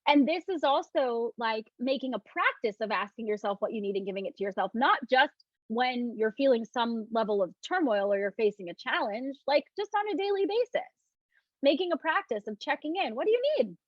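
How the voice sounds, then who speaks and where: A woman with a fairly high voice guides listeners through a self-love talk, slowing down to repeat phrases gently and then slipping back into loose, chatty speech with lots of "like". The sound is dry and close, with a slightly dull, muffled top. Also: lively intonation, dry recording. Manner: soft, reassuring, repeats phrases slowly for emphasis; loose, chatty with many "like" and restarts. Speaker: self-love speaker leading a live online talk. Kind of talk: guided talk with breathing cues and viewer questions.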